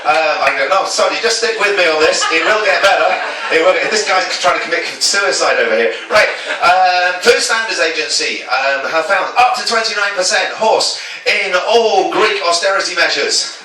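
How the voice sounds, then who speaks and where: Stand-up comic, echoey club. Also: male voice, sits high, normal speed, hardly any pauses, noisy background. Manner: self-deprecating, stumbling asides, sung jingle stings. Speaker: stand-up comedian. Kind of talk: stand-up set of topical jokes. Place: small comedy club. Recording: echoey club from a distance with chatter.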